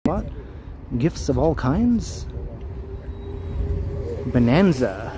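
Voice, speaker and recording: A man with a deep voice, chatting casually with viewers while walking a city street for a livestream. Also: sing-song, drawn-out words. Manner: chatty, greets viewers as he walks. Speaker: livestream host. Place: outdoors on a busy city street. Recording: faint street and traffic noise.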